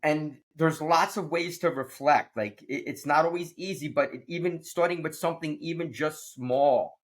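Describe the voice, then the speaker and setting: A man hosting a casual leadership conversation, upbeat and quick to laugh, often restarting his sentences. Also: on the high side. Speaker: podcast host.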